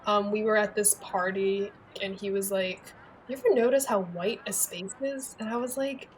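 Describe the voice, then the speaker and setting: A woman's voice: medium pitch, steady tone, normal speed, a little hiss or hum, rough recording. A young woman from the United States, talking casually in a documentary interview, with lots of "like". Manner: casual, frequent "like" fillers.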